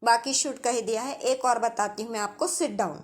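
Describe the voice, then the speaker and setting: A woman's voice: a little high, normal speed. A woman with an Indian accent teaching a grammar lesson, explaining step by step with examples.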